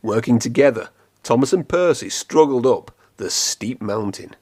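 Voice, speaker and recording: A man reading a children's storybook aloud in an expressive, clearly phrased storytelling manner. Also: mid-range voice, moderate pace. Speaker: storybook reader.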